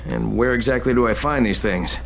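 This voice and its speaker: An actor playing a wry, laconic survivor hero in a zombie game, with a gravelly voice and dry, clipped replies. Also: male voice, mid-range voice, a little fast, slight background noise, lightly acted. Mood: businesslike. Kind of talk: video game character acting.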